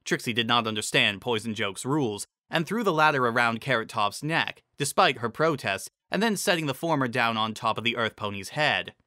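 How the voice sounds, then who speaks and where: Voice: medium pitch, lively intonation, brisk, few pauses, sharp tone, dry recording, studio quality. A narrator giving a dramatic scripted reading of a fantasy story. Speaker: narrator reading fan fiction.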